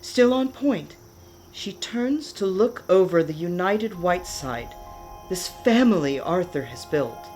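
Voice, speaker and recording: A woman giving dramatic, deliberate scripted narration for a radio play. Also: lowish voice, lively intonation, darkish tone, soft background music.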